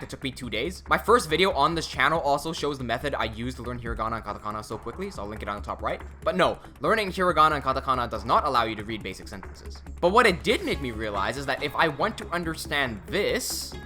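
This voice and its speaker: Young man, native English and Cantonese speaker, chatty self-mocking vlogger, animated delivery. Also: medium pitch, quick pace, soft background music. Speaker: language-learning YouTuber. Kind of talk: casual vlog narration.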